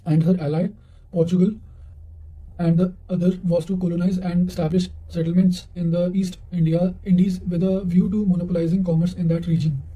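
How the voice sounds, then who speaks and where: A man reading quotations aloud for exam revision in a measured way, sometimes stumbling and repeating words. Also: high-pitched, level tone, warm tone, lo-fi sound. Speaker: narrator of exam revision notes.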